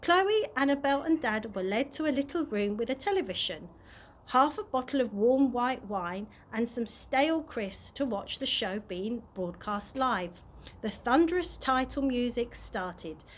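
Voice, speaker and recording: Animated woman storyteller, highish voice. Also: a little slow, a little hiss or hum. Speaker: early years practitioner reading aloud. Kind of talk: expressive children's story narration.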